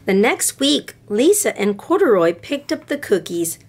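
A woman reading a picture book aloud to children in a deliberate, expressive way. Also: fairly high pitch, slight background noise. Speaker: storybook reader for children.

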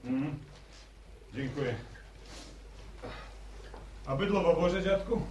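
Grumpy farm son, highish voice, roomy far-off old radio sound. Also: male voice, lively intonation, takes it slowly, a little mellow, a little hiss or hum. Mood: gruff. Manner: blunt, grumbling. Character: grumbling grown farmer son, blunt. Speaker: farmer son. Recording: echoey old radio recording, off-mic.

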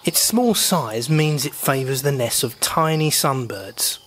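A man narrating a scripted voice-over in a calm, informative manner. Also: medium pitch, unhurried, slight background noise. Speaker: birding vlogger.

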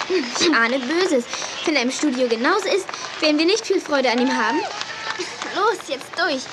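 A very high, girlish voice plays an impatient, anxious little sister. She exclaims urgently in a 1980s children's audio drama. The old cassette recording is heavily noisy. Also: lively intonation, normal speed. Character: excitable, impatient young girl, anxious younger sister.

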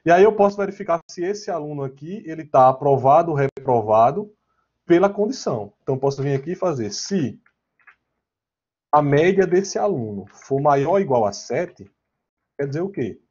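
A man's voice: a little high, animated, moderate pace, a little mellow, husky. A Brazilian man giving an informal online programming lecture in a conversational way, often checking in with little question tags. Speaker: programming teacher. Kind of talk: informal online lecture with slides and live coding. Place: online class, screen share. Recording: dull, lo-fi online class audio.

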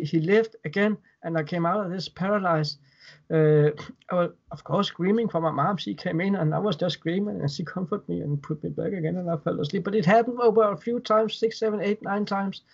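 A Danish-accented man in his thirties talks in a slightly high voice, stumbling and repeating words as he goes. He sits at home on a video call. The sound is dull and lo-fi. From Denmark. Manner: hesitant, repeats words while recounting. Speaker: guest. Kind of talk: casual interview over video call. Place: home room indoors. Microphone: webcam on video call.

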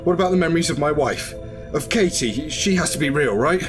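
A man's voice: on the high side, expressive, brisk, music in the background, lightly acted. A man acting in a radio drama as an ordinary, uneasy husband, earnest and questioning. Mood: shocked, questioning.

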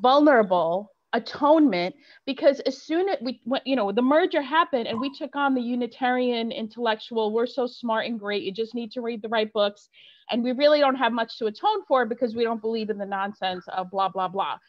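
Passionate higher-voiced woman, dull sound. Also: fairly quick. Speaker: clergy panelist. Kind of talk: panel discussion.

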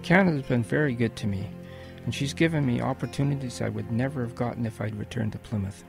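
A man playing a wistful old grandfather in an audio drama, telling his wartime boyhood in a gentle, reflective way with a husky voice. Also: mid-range voice, quick pace, over music, lightly acted.

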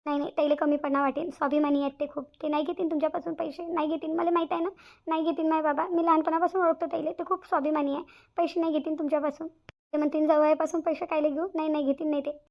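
A woman's voice: sits high, little pitch movement, very fast, vocal fry, lo-fi sound. A woman with a Varhadi Marathi accent voicing a selfless, earnest young bride-to-be in a cartoon family drama. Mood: resigned, anxious. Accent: Varhadi Marathi from Vidarbha. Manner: earnest, repeats phrases emphatically. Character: anxious, selfless young bride-to-be, tenderly devoted.

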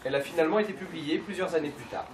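A man's voice: fairly high pitch, very fast, slight background noise. A man reading a text aloud at a bookshop event. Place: bookshop, indoors. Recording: echoey shop from far off.

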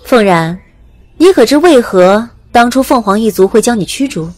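Commanding ancient goddess, fairly high voice. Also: female voice, lively intonation, moderate pace, a little mellow. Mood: teasing. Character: ancient true goddess, commanding, indulgent, unhurried. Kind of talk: audiobook drama acting.

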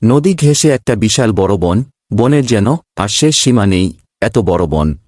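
A man's voice: on the low side, lively intonation, unhurried, background noise. A man playing a kindly schoolteacher in a children's cartoon, patient and instructive as he speaks. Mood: storytelling. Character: kindly male schoolteacher telling pupils an animal fable.

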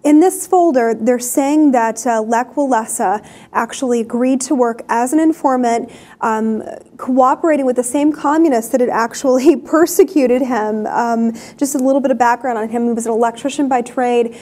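A woman's voice: fairly high pitch, normal speed, mellow. A young woman hosting a studio news show, talking loosely with fillers and restarts, on a lapel mic. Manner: chatty, many fillers and restarts. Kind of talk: casual news commentary. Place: TV studio desk.

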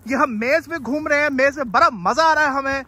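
A young man vlogging while walking outdoors around a farm, chatting excitedly and hurrying his companions along, recorded on a handheld phone. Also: very high voice, fairly quick, a little hiss or hum. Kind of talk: casual walking vlog. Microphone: handheld phone camera.

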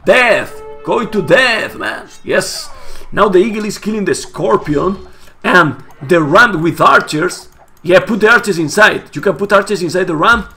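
A hoarse, Spanish-native man casting a live strategy game match, getting louder and repeating himself at big plays. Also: high voice, animated, measured pace, faint music behind. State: hoarse, losing his voice. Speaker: esports caster. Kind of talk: excited live game commentary.

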